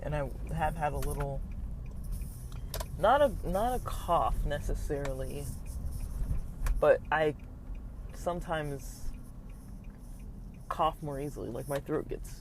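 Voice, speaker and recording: A man's voice: fairly high pitch, takes it slowly, long pauses. A young man talking casually in a personal vlog, hesitant and rambling with many fillers, his throat slightly scratchy. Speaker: vlogger.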